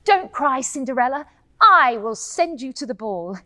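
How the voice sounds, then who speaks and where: A kindly magical fairy godmother, voiced by a woman reading a bedtime storybook, speaks slowly, softly and reassuringly. The voice sits fairly high and moves freely, and the sound is close and dry. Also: very animated, dry recording, full character voice. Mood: comforting.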